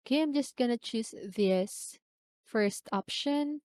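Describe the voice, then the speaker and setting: A woman presenting a step-by-step software tutorial in an instructional manner, often checking in with a short question. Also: on the high side, slow, clean tone, no room sound, clean studio sound.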